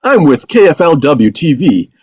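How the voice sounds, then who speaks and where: An actor reading a pushy, smooth-talking male TV reporter at a table read. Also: on the high side, sing-song, moderate pace, darkish tone, no echo, highs cut off.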